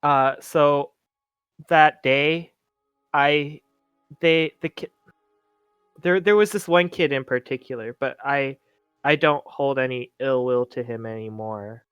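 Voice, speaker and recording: A man playing a timid, polite blind orphaned teenage boy, a haunted survivor who talks in halting stammers, in a tabletop roleplaying game. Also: mid-range voice, steady tone, a bit bright, clean tone, no echo, muffled recording, soft background music. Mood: pained, restrained.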